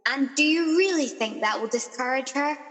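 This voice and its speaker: A woman's voice: high-pitched, moderate pace, a bit bright, big-room sound. A woman acting an inquisitive time-travelling radio presenter in a comic radio play, plain-spoken and questioning. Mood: curious.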